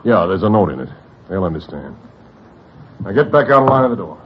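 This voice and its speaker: An actor playing a lovestruck, weary New crook who wants to go straight, in a 1940s radio drama with a husky voice. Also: male voice, sits low, very animated, fairly quick, background noise. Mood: grim, resolved. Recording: dull vintage radio recording.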